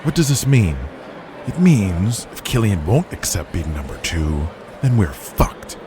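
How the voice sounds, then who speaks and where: A man's voice: lowish voice, very animated, unhurried. A man playing a crude, scheming wrestling promoter in an audio drama, blunt, profane and commanding. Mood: resigned. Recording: noticeable background noise.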